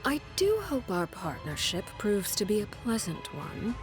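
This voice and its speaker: An actress playing a poised, commanding video game villainess who speaks formally with calm, measured courtesy. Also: female voice, medium pitch. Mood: cool, composed. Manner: formal, measured, coolly polite. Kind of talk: scripted video game character acting. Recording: game audio with music behind.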